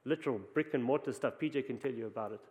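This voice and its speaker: A man's voice: medium pitch, rapid-fire, a little mellow. A man with a South African accent, preaching a conversational sermon with frequent restarts. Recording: long hall reverb.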